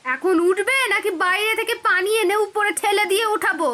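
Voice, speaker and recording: Woman acting a very high-pitched nagging housewife. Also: brisk, bright, forceful tone. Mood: bitter, scolding. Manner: scolding, lamenting. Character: nagging, exasperated village housewife and mother. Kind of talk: Bengali cartoon voice acting.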